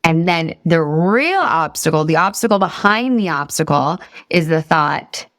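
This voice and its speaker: Upbeat woman coach, low and dry. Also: animated, unhurried, a bit bright, dry recording. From Boston area. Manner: upbeat, talks straight to her group, asks questions. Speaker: weight loss coach. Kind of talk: live coaching session.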